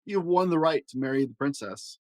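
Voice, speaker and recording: A man's voice: fairly high pitch, expressive, brisk, a little mellow, dry recording, natural voice. A man voicing a hearty, fatherly king in a storybook, grand and approving in manner. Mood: fatherly approval. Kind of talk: storybook character reading.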